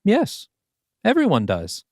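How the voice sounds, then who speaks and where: A man voicing a gentle, philosophical older male mystic in an audio play, speaking with earnest calm. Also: high voice, takes it slowly, no room sound, clean studio sound, pitched above the performer's own voice, full character voice. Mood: serene, earnest.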